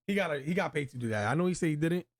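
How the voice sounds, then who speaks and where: A man's voice: a little high, animated, very fast. A man hosting a casual movie review chat, speaking in a slangy, emphatic, opinionated way. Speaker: host of a video game movie review show.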